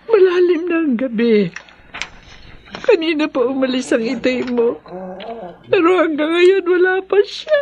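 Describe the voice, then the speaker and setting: A woman's voice: high-pitched, animated, unhurried, long pauses, darkish tone, highs cut off. An actress playing a worried, sorrowful mother in a horror radio drama. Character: anxious mother waiting for her husband at night.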